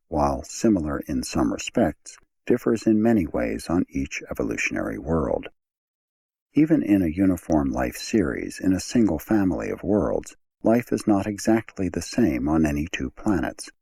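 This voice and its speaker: A man reading an audiobook as a scripted narration, in a measured, formal manner. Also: rumbling low, sing-song, gravelly, darkish tone.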